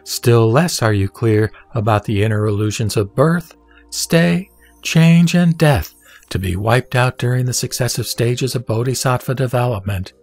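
Guy reciting a sutra, slow careful solemn reading, medium pitch, music in the back. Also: animated, a little mellow. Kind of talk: scripted scripture narration. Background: background music.

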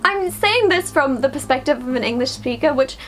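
A woman's voice: high-pitched, animated, a little fast. A young woman whose first language is Swedish, chatting casually to camera from a small bedroom, full of fillers. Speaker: vlogger.

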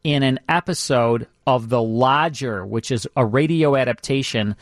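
A man's voice: mid-range voice, normal speed, no echo. American male radio host, dry studio sound. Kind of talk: casual radio banter and show promos.

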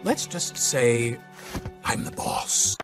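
A man voicing a bossy, cynical baby boss in an animated film, curt and deadpan with a gravelly voice. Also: medium pitch, drawn-out words. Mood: smug. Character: bossy suit-wearing baby boy, cynical corporate boss. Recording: music under dialogue.

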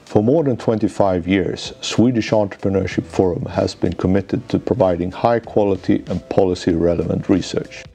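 Deep-voiced man, formal and measured. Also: gravelly, mellow. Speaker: managing director, economics professor. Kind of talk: scripted corporate presentation. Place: office lounge, indoors. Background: faint background music.

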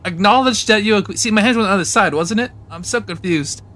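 A man's voice: sits high, fast, sharp tone. A man voicing a dramatic, scatterbrained embodiment of lust in an improvised comedy game dub, rambling and stammering. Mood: pleading. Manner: rambling, stammering, melodramatic wailing.

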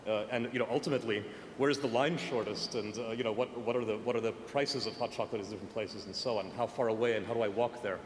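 Man, panel speaker, lively and quick, long hall reverb, faint background noise. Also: on the low side. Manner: energetic, many fillers. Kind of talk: conference panel discussion. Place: large conference hall.

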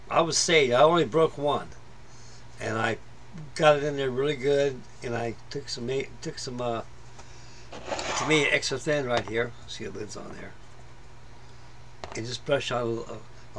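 A man's voice: medium pitch, fairly bright tone, slight background noise. A middle-aged man giving casual, rambling commentary on a model build at his workbench, full of fillers and restarts. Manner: plain-spoken, rambling, many fillers and restarts. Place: workbench in a home hobby room.

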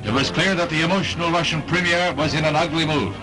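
A man's voice: a little high, quick pace, poor audio. A man with an American accent narrating a mid-century newsreel in a formal, dramatic announcer style. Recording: old newsreel soundtrack with loud music.

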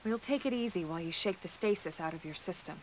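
A slightly low-voiced female soldier played by an actress in a sci-fi game, composed and reassuring. She speaks in a steady, matter-of-fact way, with faint rain behind her. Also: fast, background noise, close to an everyday voice. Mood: calm, reassuring. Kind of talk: video game cutscene acting.

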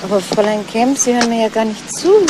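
Actress as a chatty middle-aged housewife, noisy recording. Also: female voice, a little high, animated, fast, lightly acted. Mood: eager, persuasive. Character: chatty, angling housewife and mother of teenagers. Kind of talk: 1970s German radio crime drama acting. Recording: noisy old radio play recording.

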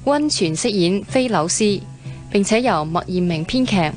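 A woman's voice: mid-range voice, expressive, a little slow, soft background music. A woman with a Hong Kong accent reading radio drama credits in a formal scripted announcement.

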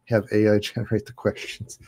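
A man in his thirties hosts a casual online chat from a room at home, talking through a headset. His voice sits a little low, and he keeps the talk loose and jokey, laughing often. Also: monotone, a little fast, darkish tone. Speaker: livestream host. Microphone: headset on a video call.

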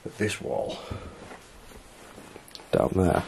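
Excited man exploring an old house. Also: very slow, slight background noise. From England. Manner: excited, awestruck exclamations while walking around. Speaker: urban explorer vlogger. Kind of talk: casual exploring commentary. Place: abandoned house and garage. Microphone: handheld camera mic.

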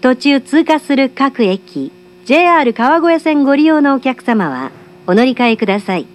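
A woman's voice: high-pitched, big pitch swings, normal speed. A woman giving formal, polite, carefully enunciated scripted train announcements, recorded from a carriage speaker.

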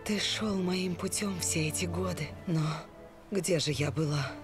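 Woman as middle-aged mother, gentle, regretful, animated film dub, clear score behind. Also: lowish voice, unhurried, close to an everyday voice. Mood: tender. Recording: film soundtrack with music underneath.

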